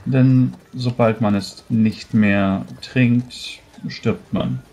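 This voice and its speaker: A man playing a tabletop role-playing game, explaining in character in a deliberate, halting way. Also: medium pitch, fairly even intonation, dark tone.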